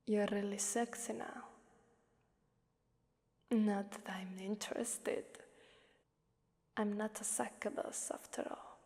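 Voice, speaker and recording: Woman as a slow, whispery, haughty demon mistress. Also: mid-range voice, steady tone. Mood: condescending, teasing. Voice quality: soft, breathy whispering in many lines. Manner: haughty, taunting, commanding. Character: haughty, sadistic female Krampus demon, bored arena mistress. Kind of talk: whispery ASMR roleplay voice acting. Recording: long cavernous reverb.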